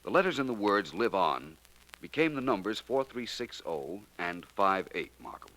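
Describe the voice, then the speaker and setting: An actor playing a suave, calmly superior gentleman detective in a 1940s radio drama, smooth and unhurried. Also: on the low side, a little hiss or hum, rough recording. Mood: explaining. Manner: smooth, unhurried, lightly condescending.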